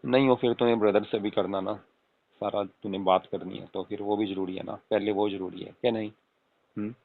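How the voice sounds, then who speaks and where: A man's voice: fairly low pitch, steady tone, brisk, gravelly. A man playing an affectionate young husband on a casual late-night call, coaxing and often asking for agreement. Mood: gently advising. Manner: coaxing, repeatedly seeking agreement with tag questions. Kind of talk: acted casual phone conversation with spouse. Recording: tinny phone-call audio with room echo.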